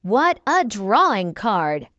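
A woman voicing a greedy, brutish male puppet showman in a storybook read-aloud, speaking in slow snarls. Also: mid-range voice, very animated, fairly bright tone, no room sound, dull audio.

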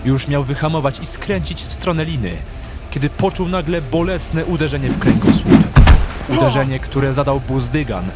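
A man's voice: mid-range voice, normal speed. A man narrating a dramatic audio drama from a script. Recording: clear music behind the narration.

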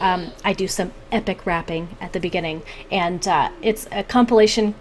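A woman's voice: medium pitch, slight background noise. A woman in her thirties chatting casually and animatedly to the camera as a vlogger. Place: small home room, indoors.

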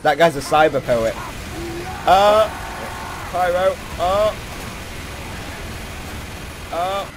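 A young British man giving casual, excitable, joking gameplay commentary as the host of a gaming video. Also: high-pitched, extremely slow, pauses often. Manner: excitable, jokey banter. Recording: home recording with clear background noise.

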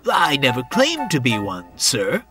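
A man's voice: medium pitch, wildly swooping pitch, a little slow, loud music behind the voice, voice pushed up. A man voicing a chipper, courteous and slightly cheeky railway wagon in a children's story.

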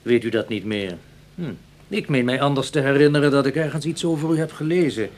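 Actor as a condescending psychologist, mid-pitched. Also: male voice, fairly quick, slight background noise, lightly acted. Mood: probing. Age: 40s. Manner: condescending, hesitant openings. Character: dismissive, pedantic psychologist of about forty. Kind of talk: Dutch radio play acting.

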